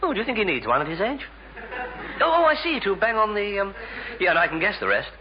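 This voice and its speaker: A man's voice: high voice, sing-song, fast talker. An actor playing a flustered, bumbling young Englishman in a 1960s British radio sitcom, hesitant, stammering and joking. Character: flustered, bumbling young man avoiding family rows. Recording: noisy, dull old radio recording.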